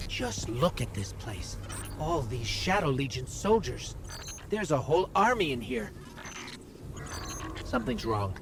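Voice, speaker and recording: Game sidekick: youthful, earnest, expressive, slightly high voice, loud action noise behind. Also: male voice, unhurried, creaky, pauses often, full character voice. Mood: worried. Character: earnest, eager young male companion in sci-fi adventure. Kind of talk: video game cutscene acting. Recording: loud battle and engine noise.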